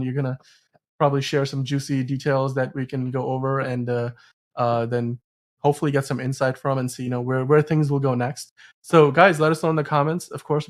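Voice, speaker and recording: A man hosting a podcast in a casual, rambling, chatty way, talking through entertainment news. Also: mid-range voice. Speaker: host of a film news podcast.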